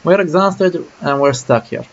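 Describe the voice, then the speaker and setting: A man's voice: a little high, lively intonation, unhurried, creaky, muffled recording, a little hiss or hum. A man streaming a game, musing aloud casually about his choices as he plays. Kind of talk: let's play commentary.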